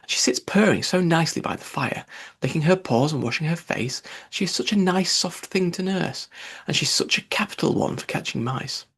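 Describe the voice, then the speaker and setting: Storybook little girl, played by a man: polite, flustered, chatty, pitched up with a husky edge. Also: normal speed, a bit bright. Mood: fond, chatty. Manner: earnest, apologetic, rambling.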